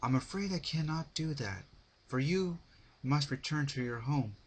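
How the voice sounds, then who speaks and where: A man's voice: medium pitch, lively intonation, a little slow, husky, pitched above the performer's own voice. A man voicing a regal female pony ruler from a fan fiction story, formal, stern and lecturing. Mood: commanding.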